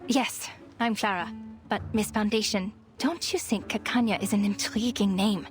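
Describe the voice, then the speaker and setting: An actress playing a proud, eloquent psychiatrist with a sardonic, theatrical way of talking in a video game. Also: female voice, mid-range voice, steady tone, moderate pace, soft background music, natural voice. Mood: flattered, musing. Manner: eloquent, grandly rhetorical, sarcastic.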